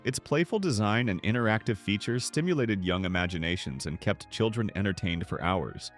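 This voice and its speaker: A man reading a scripted, nostalgic documentary narration in a measured, polished way. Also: on the low side, lively intonation. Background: background music.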